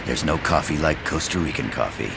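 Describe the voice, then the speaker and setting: Older professor, extremely deep, creaky. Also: male voice, lively intonation, exaggerated voice. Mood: grave, polite. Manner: formal, measured, earnest. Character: courteous, grave older male Costa Rican professor, pleading. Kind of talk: dramatic game cutscene acting. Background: loud dramatic music.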